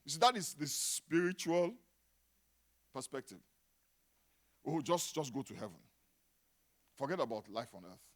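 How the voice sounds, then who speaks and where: A middle-aged Nigerian man preaching a sermon, dropping to a hush and then rising to loud exclamations. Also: fairly high pitch, very animated, unhurried, long pauses. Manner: dramatic, swings from hushed to shouting. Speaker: pastor.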